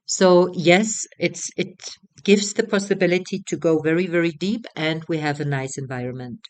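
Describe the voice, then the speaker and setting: A woman in her thirties with a German accent, a retreat facilitator talking casually, halting and repeating words as she goes. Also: on the low side, darkish tone. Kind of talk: casual spoken promotional talk.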